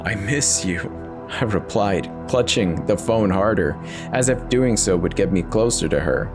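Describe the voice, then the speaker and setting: Guy reading a creepy story dramatically, lowish voice, somber n confessional, bit of music behind. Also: animated, normal speed. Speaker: horror story narrator. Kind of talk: dramatic reading of a first-person horror story.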